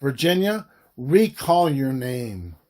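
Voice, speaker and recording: A man reading a historical book aloud as its narrator, with deliberate, emphatic delivery in short halting phrases. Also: mid-range voice, very animated, darkish tone. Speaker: audiobook reader, author of the book.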